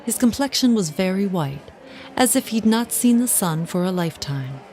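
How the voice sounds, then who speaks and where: An actress playing a resentful, well-to-do wife, narrating formally and dramatically in an audio drama. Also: female voice, medium pitch, expressive, normal speed, a little mellow, slight background noise, natural voice. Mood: ominous. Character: resentful, well-to-do wife recounting her confession.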